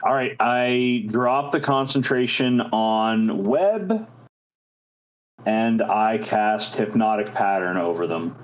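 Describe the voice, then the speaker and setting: A man's voice: fairly low pitch, animated, takes it slowly, poor audio. A man talking casually as a player in a tabletop role-playing game.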